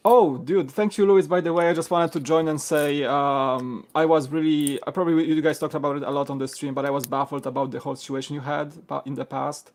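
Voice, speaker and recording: A man from Poland chatting on a live video-call stream, excitable, with stammered repeats, often trailing off quietly. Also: fairly high pitch, brisk, hardly any pauses. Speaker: regular co-host joining a live stream. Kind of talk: casual live-stream chat.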